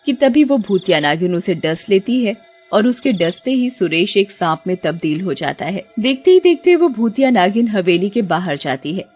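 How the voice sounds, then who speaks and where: A woman's voice: medium pitch, big pitch swings, normal speed, darkish tone. A woman giving scripted storybook narration for a cartoon.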